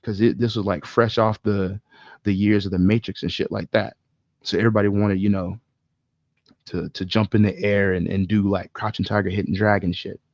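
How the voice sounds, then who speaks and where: Low, gravelly man, casual and emphatic. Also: little pitch movement, normal speed, darkish tone, no echo, highs cut off. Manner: opinionated, emphatic, swears casually. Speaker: podcast co-host. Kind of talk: casual chat about video game movies.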